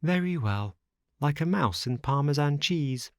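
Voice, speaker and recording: A mischievous storybook boy with a raised, youthful pitch, put on by a male reader. He is cagey and mock-polite, with lively melody in his phrases and a dry, close sound. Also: measured pace, dry recording.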